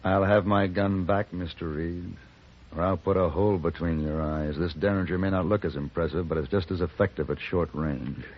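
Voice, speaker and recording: An actor playing a cool, cultivated gunfighter for hire with an American accent, dry and unflappable, in a radio western. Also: male voice, low voice, steady tone, normal speed, slight background noise. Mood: cold menace. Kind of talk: old-time radio western acting. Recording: muffled vintage radio recording.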